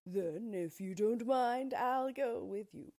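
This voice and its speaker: A woman's voice: on the high side, takes it slowly, warm tone, pitched above the performer's own voice. A woman voicing a cowardly male lion in a storybook reading, speaking in a raised, pleading voice. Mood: timid. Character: timid male lion, cowardly but loyal.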